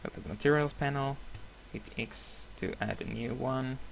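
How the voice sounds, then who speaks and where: A man whose first language is Hebrew, giving a screen-recorded software tutorial with many hesitations and self-corrections. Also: medium pitch, animated, moderate pace, creaky, slight background noise. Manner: apologetic, laughs at himself.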